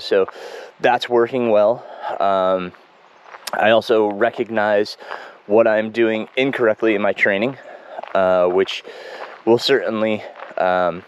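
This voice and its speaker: A man speaking in a casual running video diary, congested and froggy, reflective and halting with many ums and restarts. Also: on the low side, fairly even intonation, smooth. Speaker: running vlogger. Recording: dull lo-fi home recording.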